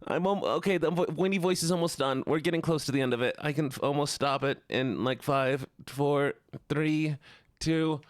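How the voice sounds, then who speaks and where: A man putting on a high, whiny complainer. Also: moderate pace, no room sound, clean studio sound, close to an everyday voice. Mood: whiny, reluctant. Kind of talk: livestream voice bit for viewers.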